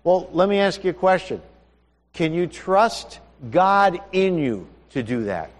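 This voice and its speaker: High-voiced man preaching in a hall. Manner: conversational preaching with rhetorical questions. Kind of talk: sermon.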